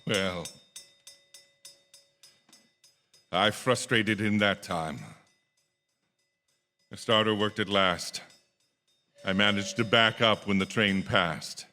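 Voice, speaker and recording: A man's voice: low-pitched, a bit bright. A man playing a haunted, anxious lone motorist in a radio drama, intense and sometimes stammering. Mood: grim, shaken. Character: haunted, anxious lone male motorist narrating his ordeal. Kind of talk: dramatic first-person radio drama narration. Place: theatre stage. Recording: reverberant theatre hall, music underneath. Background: dramatic music.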